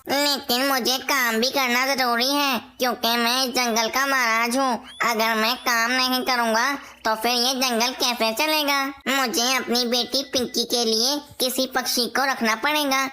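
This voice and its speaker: Woman as a bright, high-voiced parrot king. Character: worried, dutiful male parrot king, a father. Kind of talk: Hindi cartoon voice acting.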